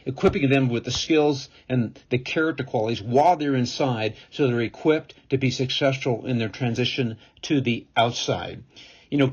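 Earnest ministry leader, dull lo-fi recording. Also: male voice, mid-range voice, breathy edge. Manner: earnest, measured, sermon-like. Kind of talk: recorded promotional message, preaching.

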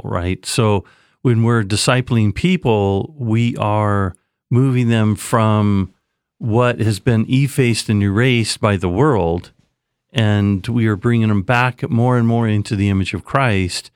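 A man's voice: lowish voice, clean tone, no echo, studio quality. A man who is a pastor, teaching on a radio show in a confident, emphatic way and checking in with "right?".

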